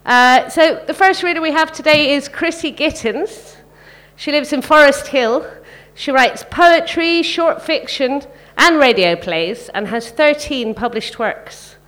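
High-voiced woman hesitantly hosting an event. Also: a little slow, a bit bright. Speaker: event host introducing readers. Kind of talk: informal live introduction, partly read out.

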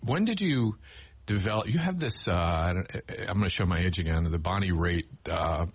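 A husky, low-voiced middle-aged male host. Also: expressive, normal speed. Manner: warm, encouraging, frequent back-channel replies. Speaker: morning radio host. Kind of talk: casual radio interview.

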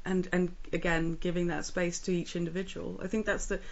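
A woman interviewing in a casual chat, speaking earnestly and in a measured way. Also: on the low side, level tone, vocal fry. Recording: dull lo-fi sound.

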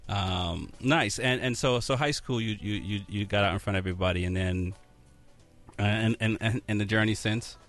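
A man's voice: fairly low pitch, moderate pace, fairly bright tone, faint music behind. A man hosting a casual interview, stammering and repeating words as he asks questions and laughs often. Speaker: host.

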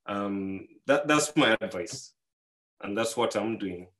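Man, low voice, easygoing advice-giver, rambles with fillers, leans up on key points. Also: slow pace, rough recording. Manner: relaxed, rambling, many fillers and restarts. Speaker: speaker giving money advice. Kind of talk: casual advice talk.

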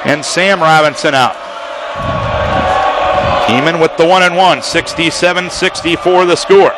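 A man calling live basketball play-by-play for radio, firing off rapid calls that rise to shouts on big plays, in a gym full of people. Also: on the high side, fairly even intonation. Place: high school gym with a crowd. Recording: loud crowd and gym noise. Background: crowd and gym noise.

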